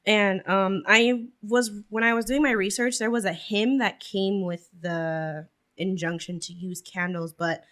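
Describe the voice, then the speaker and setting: A woman's voice: mid-range voice, steady tone, unhurried, hardly any pauses, fairly bright tone. A young woman hosting a casual chat about church practice, asking questions and laughing often. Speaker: podcast host. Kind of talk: casual religious discussion.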